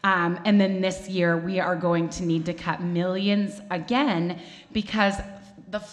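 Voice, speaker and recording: A woman from Oregon, a school board member, opening a public forum with loud, thankful remarks. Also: on the low side, fairly even intonation, measured pace. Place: church hall.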